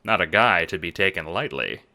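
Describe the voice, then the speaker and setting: A man narrating a fan audio drama in a dramatic, measured noir style. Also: low voice, animated, bright, forceful tone. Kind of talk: second-person noir narration.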